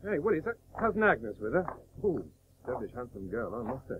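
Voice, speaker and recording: A man's voice: mid-range voice, very animated, fast, narrow, tinny audio, close to an everyday voice. Man playing a breezy young cricketer, thin noisy recording. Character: breezy younger brother, Victorian gentleman cricketer. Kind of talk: radio play acting. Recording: noisy, thin old radio tape.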